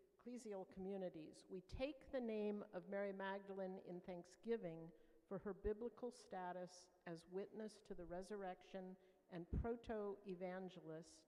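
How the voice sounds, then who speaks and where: A woman's voice: medium pitch, mellow. A woman in the audience reading a passage aloud carefully. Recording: distant voice in echoing hall.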